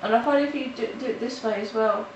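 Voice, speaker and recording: A woman with a nasal voice from hay fever, talking halting and casual as she walks through a coloring tutorial at home. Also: on the high side, highs cut off. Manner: halting, with restarts and fillers. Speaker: art tutorial host. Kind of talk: casual step-by-step tutorial narration. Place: home in the countryside. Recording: roomy, mic at a distance, faint noise.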